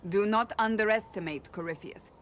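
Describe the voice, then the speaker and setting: An actress playing a devout, loyal warrior advisor in a fantasy video game, speaking in a grave, formal, firm manner. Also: female voice, medium pitch, moderate pace, a little hiss or hum, close to an everyday voice.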